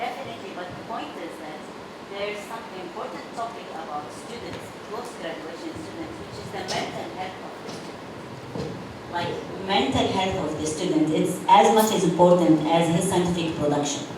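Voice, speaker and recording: A woman from Syria, an English speaker in her thirties, making an earnest comment during a university panel discussion. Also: on the low side. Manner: earnest, non-native phrasing. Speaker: audience member. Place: university auditorium. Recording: echoey hall, distant mic, noisy.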